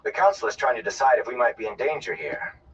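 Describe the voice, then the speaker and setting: Young villager, voiced by a male narrator: courteous, eager, unsure of himself, pitched up, for an audiobook, slightly distant sound. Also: big pitch swings, fast, muffled recording, a little hiss or hum, close to an everyday voice. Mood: earnest, apologetic. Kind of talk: audiobook character voice.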